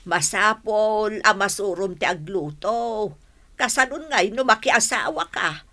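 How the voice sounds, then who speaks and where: A woman's voice: mid-range voice, animated, a little slow, pushed and bright. An actress playing a weary, nagging middle-aged village mother in an Ilocano radio drama, scolding and exclaiming. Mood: weary, complaining.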